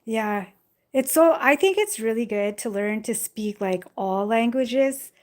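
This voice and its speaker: A talkative young woman chatting with her viewers on a live stream, recorded on the phone she holds in her hand. Her voice sits a little high, and she rambles easily, full of casual fillers. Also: lively intonation, unhurried. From Jamaica. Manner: chatty, rambling, frequent "like" and "you know". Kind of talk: casual live chat reading viewer comments. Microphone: phone held in hand.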